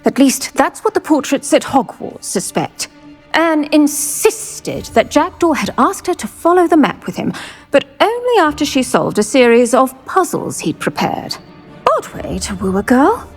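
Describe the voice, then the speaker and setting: An actress playing an earnest, retired British investigator in a video game, measured and articulate in manner. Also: female voice, high-pitched, sing-song, soft background music, exaggerated voice. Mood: earnest, concerned. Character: earnest, keen retired female investigator, older. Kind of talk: video game character acting.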